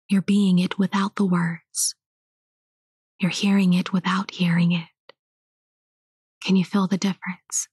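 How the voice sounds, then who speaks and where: A woman whispering a meditation in a low, flat, breathy hush. The voice sits close and dry, with no room around it. She speaks softly and slowly throughout. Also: no echo. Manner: soft unvoiced whisper, slow and hushed. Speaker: spiritual teacher. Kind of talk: whispered guided meditation and spiritual teaching.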